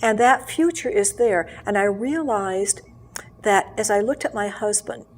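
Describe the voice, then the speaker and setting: Husky-voiced older woman telling her story. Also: mid-range voice. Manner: earnest, measured, with frequent fillers and restarts. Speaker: interview guest. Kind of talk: reflective personal storytelling. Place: local TV studio.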